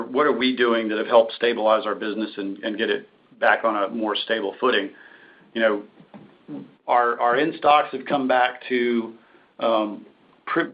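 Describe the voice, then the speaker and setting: A man speaking as a company executive, answering analysts' questions on a conference call. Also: medium pitch. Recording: muffled, noisy conference-call line with clicks.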